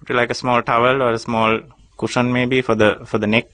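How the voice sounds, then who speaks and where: Stammering Sri Lankan radio prankster. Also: male voice, medium pitch, little pitch movement, brisk, a bit bright, dry recording, low-quality recording. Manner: hesitant, stammering, fake customer-service politeness. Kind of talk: prank phone call.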